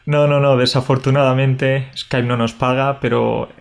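A man's voice: mid-range voice, level tone, moderate pace, vocal fry. Sleepy man, easygoing co-host. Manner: friendly, easygoing, talks to listeners. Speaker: podcast co-host. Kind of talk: casual podcast chat for Spanish learners. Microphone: recorded over a video call.

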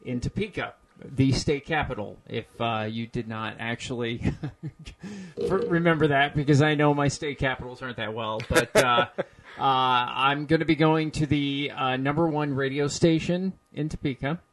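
A man's voice: medium pitch, moderate pace, fairly bright tone. A man who hosts a radio morning show, chatting with an easygoing, joking manner as a guest in a gym. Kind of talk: casual interview chat. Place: gym, indoors.

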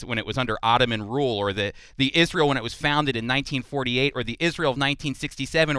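A male radio host with a crisp, clear voice and lively, varied intonation. He interviews in a conversational style, and the sound is dry and close. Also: mid-range voice, no echo.

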